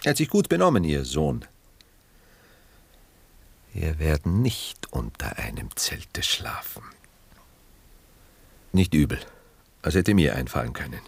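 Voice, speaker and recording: A man acting in a 1960s German radio drama as a cultivated, coolly ironic gentleman who speaks with formal, measured, detached courtesy. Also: extremely low pitch, very animated, vocal fry, husky, exaggerated voice. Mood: brooding, ironic.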